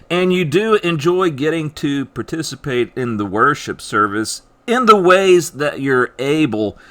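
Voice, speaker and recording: Male author-narrator, audiobook reading, unhurried pace, very animated intonation, emphatic dramatic phrasing. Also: a little high. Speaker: author reading own work.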